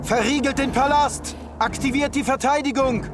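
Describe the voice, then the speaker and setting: Guard captain: high-pitched, harsh, urgent command over music, fantasy game. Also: male voice, fairly even intonation, moderate pace. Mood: urgent, stern. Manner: barking, urgent orders.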